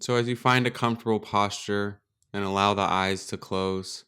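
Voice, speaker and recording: A man leading a guided meditation as a teacher, speaking in a calm, gentle, unhurried way with pauses. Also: on the low side, smooth. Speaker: meditation teacher and podcast host. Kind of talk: guided meditation, slow and instructional.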